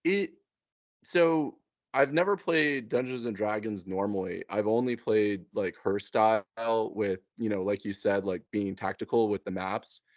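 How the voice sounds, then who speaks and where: A man in a casual, informal chat, thinking aloud in halting phrases. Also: on the low side, dry recording, lo-fi sound.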